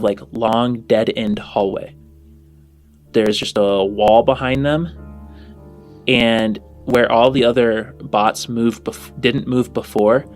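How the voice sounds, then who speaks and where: A man runs a tabletop game in a casual, improvised way. His medium-pitched voice halts and rephrases as he describes things. Soft music sits underneath. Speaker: game master.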